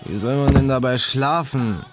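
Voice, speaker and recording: A man's voice: mid-range voice, measured pace, over loud music, close to an everyday voice. A man playing an irritated young male student in an audiobook, blunt and exasperated as he speaks. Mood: annoyed. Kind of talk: audiobook character dialogue.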